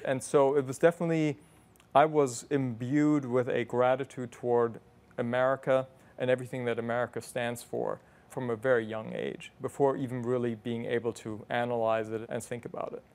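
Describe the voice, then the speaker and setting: A man, a guest in an interview, telling his memories in a thoughtful, measured way. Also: medium pitch, flat delivery, darkish tone. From West Germany. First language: German. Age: 50s. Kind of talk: reflective conversational storytelling.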